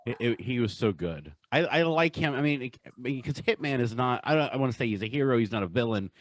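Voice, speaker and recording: A man co-hosting a podcast in casual, enthusiastic chat, with a halting way of talking. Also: mid-range voice, lively intonation. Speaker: podcast co-host. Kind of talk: casual chat, countdown commentary.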